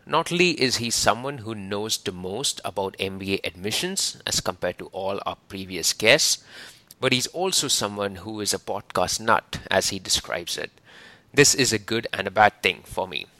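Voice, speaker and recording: A man's voice: on the low side, animated, moderate pace, pushed and bright, no room sound. A man hosting an interview podcast in an upbeat way, often laughing. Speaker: podcast host. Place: lives in London.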